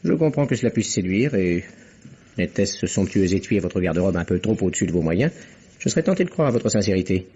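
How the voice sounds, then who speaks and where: Husky, sardonic police inspector, dull old recording. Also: male voice, sits low, big pitch swings, mellow, exaggerated voice. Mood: sly, measured. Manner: ironic, measured, probing. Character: shrewd, sardonic male police inspector, needling suspects. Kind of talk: vintage French radio mystery acting.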